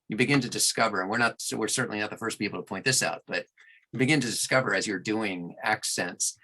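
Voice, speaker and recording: Low, bright-voiced man explaining his craft. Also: poor audio. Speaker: audiobook narrator and producer, podcast guest. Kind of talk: conversational interview answers.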